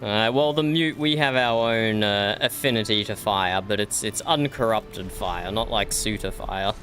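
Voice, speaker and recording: A man's voice: lowish voice, measured pace, a bit bright, soft background music. A man voicing a good-humoured companion in a role-play game who laughs often, stammers and says "aye".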